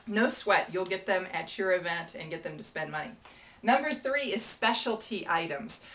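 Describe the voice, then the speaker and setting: A woman's voice: on the low side, normal speed, fairly bright tone. A woman in her thirties from the United States, a benefit auctioneer giving friendly, chatty advice straight to camera in a small room. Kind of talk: casual to-camera advice talk. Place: small indoor room before a whiteboard.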